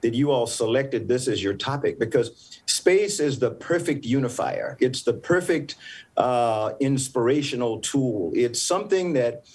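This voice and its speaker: An older man from the American South speaks as a former astronaut on a festival panel. He explains things patiently and deliberately, often restarting his sentences as he works toward the point, in a voice of middle pitch. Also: expressive, a little mellow. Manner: measured, explanatory, with frequent restarts. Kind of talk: panel interview answer.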